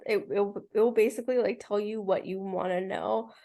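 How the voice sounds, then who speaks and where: A female student talking casually on a video call. Her voice sits at a medium pitch and her sentences come loosely, padded with lots of filler. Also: fairly even intonation, brisk, dark tone. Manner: filler-heavy, peppered with "like". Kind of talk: casual class discussion. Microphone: video call mic.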